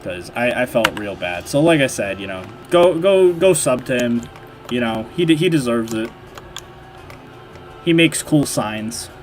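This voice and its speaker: A man's voice: medium pitch, animated, a little slow, faint music behind. A man with a nasal voice hosting a livestream, chatting casually and rambling with lots of fillers and restarts. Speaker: livestream host. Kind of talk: casual livestream chat while modding.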